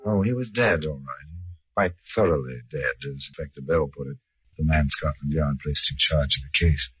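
A man performing as a wry, ominous storyteller in an old-time radio mystery, speaking with deliberate theatrical irony. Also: very deep voice, breathy edge, faint music behind, heavily acted. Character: wry, ominous older male storyteller of true crimes. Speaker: narrator and host of a crime anthology. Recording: dull, noisy old radio recording.

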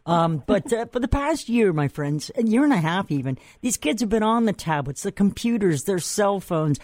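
A man's voice: high-pitched, expressive, normal speed, darkish tone, no room sound, studio quality. An older man with a Massachusetts accent hosting an interview, talking in a chatty, rambling way with restarts and fillers. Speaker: host. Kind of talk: radio or podcast interview.

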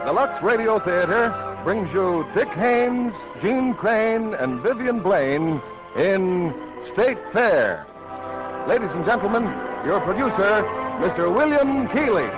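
A man's voice: high voice, animated, slow. A man announcing a 1940s radio show in a grand, declamatory style. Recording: muffled 1940s radio broadcast. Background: loud music.

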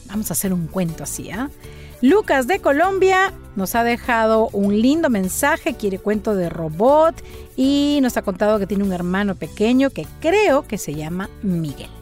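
A woman storyteller speaks slowly and deliberately to young listeners, her fairly high voice swinging up and down as she asks quiz questions. She praises every answer warmly, and soft music plays faintly underneath. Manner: animated, coaxing, praising answers. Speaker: children's storyteller and channel host. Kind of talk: playful quiz for children after a story.

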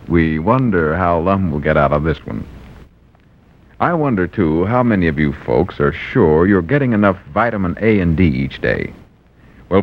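A man announcing in a scripted commercial style as a radio announcer, with a smooth, persuasive sales pitch. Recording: dull old radio broadcast.

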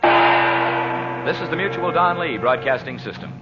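An American man announcing and pitching on old-time radio in an emphatic, salesmanlike style, speaking straight to the listener. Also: expressive, measured pace, over loud music. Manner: salesmanlike, emphatic, direct address. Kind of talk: 1940s radio advertising announcing. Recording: dull vintage radio broadcast recording.

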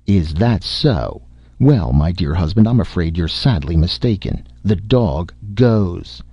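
A man's voice: low voice, expressive, a little slow, warm tone, breathy edge, exaggerated voice. A man voicing a haughty, exasperated wife and mother with a snappish, imperious manner in a storybook reading. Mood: defiant. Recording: muffled audio in echoey room.

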